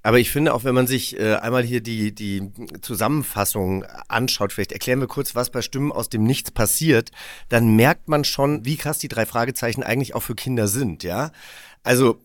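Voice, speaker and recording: A man's voice: mid-range voice, normal speed, dry recording. Man hosting in a dry studio sound. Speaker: interview host. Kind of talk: conversational podcast hosting.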